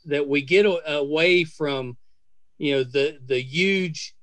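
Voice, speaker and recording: A middle-aged man hosting a casual podcast interview, speaking in a gushing, effusive way with halting phrasing. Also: fairly high pitch, fairly bright tone, dry recording. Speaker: podcast host interviewing guests.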